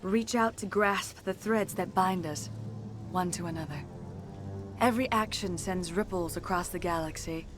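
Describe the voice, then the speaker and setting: An actress playing a centuries-old, repentant alien mystic in a video game, speaking in a formal, measured and reverent manner. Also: female voice, on the low side, natural voice. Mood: hypnotic, soothing. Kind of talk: video game dialogue acting.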